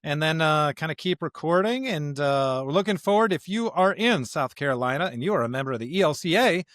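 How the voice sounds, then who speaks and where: Man, American accent, fairly high voice, emphatic upbeat delivery, casual hosting, dry studio sound. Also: lively intonation, fairly quick, a bit bright, dry recording. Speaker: pastor and podcast co-host. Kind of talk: casual podcast chat and introductions.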